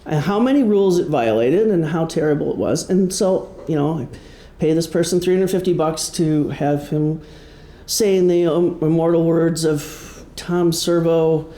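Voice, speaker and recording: Male author-musician presenting informally: mellow, creaky voice, conversational and self-effacing, recorded in a live room. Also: fairly high pitch. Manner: halting, self-deprecating, conversational. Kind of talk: informal public talk about own creative project. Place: indoor room before an audience. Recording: roomy live presentation sound.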